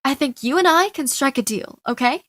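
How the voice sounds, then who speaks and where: A woman voicing a feisty, lovestruck young con artist in an animated story fan dub, with theatrical, expressive delivery. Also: sits high, normal speed, dry recording. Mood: pleading, scheming. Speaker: amateur fan dubber. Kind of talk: animated story fan dub, first-person narration with dialogue.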